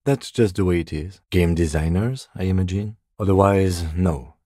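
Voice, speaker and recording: An actor playing a calm, methodical middle-aged police lieutenant in a video game, measured, understated and dryly wry, with a husky voice. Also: male voice, deep voice, no echo, clean studio sound. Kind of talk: video game character dialogue.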